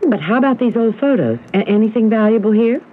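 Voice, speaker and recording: An actress playing a curious, romantic wife with a sleuthing streak in a radio drama, speaking in an expressive, teasing way. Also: female voice, fairly high pitch, fast talker. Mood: intrigued, excited. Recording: tinny, hissy old radio broadcast recording.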